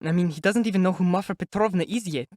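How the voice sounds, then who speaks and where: A reader voicing a steady, reassuring young woman who comforts her mother. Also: medium pitch, fast, no room sound, studio quality, pitched above the performer's own voice, close to an everyday voice. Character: young woman, calm, firm, protective daughter.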